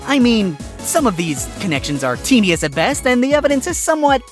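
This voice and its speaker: A man acts out a cornered fox defense attorney, quick-tongued and increasingly desperate, his pitch bouncing around as he argues. Soft background music runs beneath this fan-made storybook reading. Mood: arguing. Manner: animated, swings from quick retorts to deflated mumbling. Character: flustered fox defense lawyer, sly but desperate.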